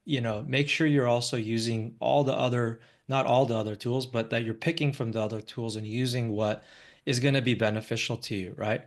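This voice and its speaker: An American man, an investor, giving an informal live presentation in a conversational way, often checking in with listeners. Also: mid-range voice. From Los Angeles, United States. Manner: conversational, frequently checks in with "right?".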